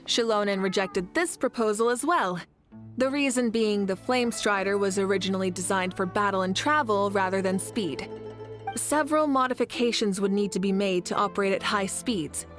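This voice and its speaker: Video game warrior leader, played by an actress: confident, composed idealist, fairly high female voice, measured and articulate, music underneath. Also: natural voice. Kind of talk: video game character dialogue.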